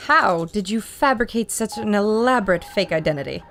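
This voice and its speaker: A woman playing a brisk, earnest radio reporter and narrator with an American accent, in old radio serial style. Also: mid-range voice, expressive, a bit bright. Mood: urgent. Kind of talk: 1940s radio serial acting.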